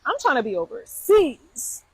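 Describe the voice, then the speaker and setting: A woman's voice: sits high, huge pitch swings, a little slow, darkish tone, dry recording, low-quality recording. A woman in her thirties delivering playful, punchy one-liners in a comedic skit. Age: 30s. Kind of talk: comedic social media skit.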